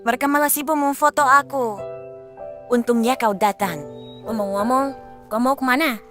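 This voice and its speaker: A high, youthful cartoon voice over clearly audible music. The character is small, excitable and earnest, speaking in expressive exclamations as in a children's animated show. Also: female voice, moderate pace. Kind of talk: children's cartoon dub acting.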